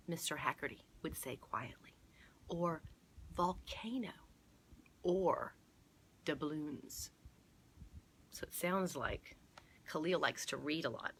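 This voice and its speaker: A woman hosting a children's storytime, reading aloud and pausing to explain and point things out to her listeners. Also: fairly low pitch, a bit bright, a little hiss or hum. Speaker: storytime host at a museum garden. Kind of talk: read-aloud storytime for children with chatty asides.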